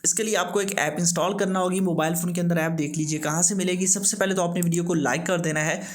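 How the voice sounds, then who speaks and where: A man's voice: sits high, steady tone, fast. A man hosting a tech tips tutorial, giving step-by-step instructions. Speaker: host of a tech tips channel. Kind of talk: scripted-sounding tutorial narration for a video.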